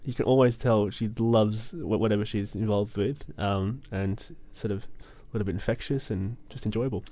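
A man co-hosting a podcast, chatting casually and agreeably in a measured way. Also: on the low side, fairly even intonation, dark tone, highs cut off. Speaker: podcast co-host. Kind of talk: casual chat.

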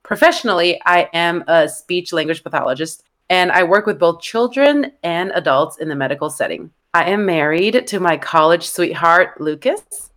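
A woman's voice: fairly low pitch, lively intonation, normal speed, hardly any pauses, a bit bright. A woman in her thirties from Taiwan, co-hosting a podcast and explaining things in an earnest, casual way. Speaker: podcast co-host. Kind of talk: casual scripted chat.

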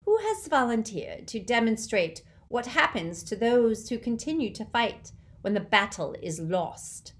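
A woman reading aloud and voicing a cold, gloating male dark wizard with a soft, silky, hissing menace. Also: fairly high pitch, very animated, a little slow, hardly any pauses, voice pushed up. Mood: silky, mocking.

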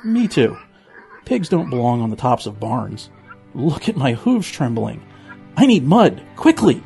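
A man's voice: medium pitch, very animated, a little slow, gravelly, warm tone, faint music behind. A man playing an earnest, preachy pig with an admonishing manner, in a children's audio drama. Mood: panicky. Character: earnest, preachy male pig with a fussy nervous streak.

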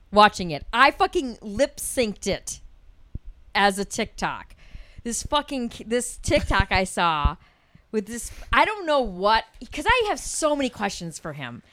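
A woman with a fairly high voice that swoops up and down as she tells stories. She talks casually, laughs often and swears freely. The sound is dry and close. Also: a bit bright, no room sound. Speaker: podcast co-host. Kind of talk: casual chat.

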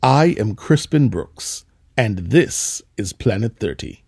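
A man's voice: on the low side, takes it slowly, vocal fry. A man hosting a podcast, reading a scripted introduction and then chatting casually with a guest, laughing easily. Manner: lively, animated delivery with laughs. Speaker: podcast host.

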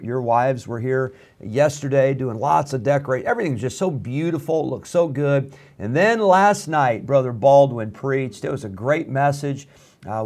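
Folksy pastor hosting radio. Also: male voice, mid-range voice, darkish tone. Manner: friendly, rambling, many fillers. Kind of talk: casual radio broadcast announcements. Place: church studio room.

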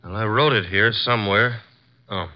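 An actor playing a brisk, wisecracking male assistant detective in a 1950s radio drama, matter-of-fact and wry, on a muffled old broadcast recording. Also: on the low side, lightly acted.

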